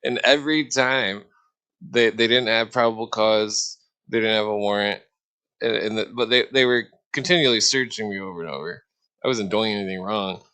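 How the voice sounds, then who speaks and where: A man's voice: lowish voice, normal speed, pushed and bright, clean tone. A man chatting casually on a livestream, telling anecdotes in a rambling way with repeated phrases. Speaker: livestream talker. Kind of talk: casual chat.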